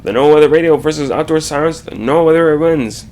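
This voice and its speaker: A man reading a scripted slide presentation aloud, haltingly, correcting himself and adding asides. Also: a little high, darkish tone.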